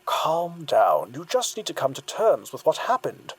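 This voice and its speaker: Guy doing a cold pushy therapist for an audio drama, husky breathy, swings from low murmur to sharp orders. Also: wildly swooping pitch, unhurried, heavily acted. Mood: stern, insistent. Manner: clipped, insistent questioning. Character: probing, controlling male therapist, cold and insistent.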